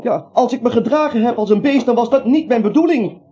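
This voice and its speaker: A man's voice: very high-pitched, expressive, fairly quick, dark tone, husky, full character voice. An actor playing a nervous, naive little everyman who rambles, hesitates and justifies himself in a comic radio play. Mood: apologetic, grumbling. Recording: muffled old radio play, music behind.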